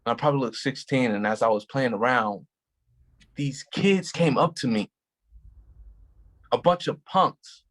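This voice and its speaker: An actor as a calm, husky-voiced officer. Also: male voice, mid-range voice. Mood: reflective. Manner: calm, measured, gently reflective storytelling. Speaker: actor in a staged play reading. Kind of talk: staged play reading.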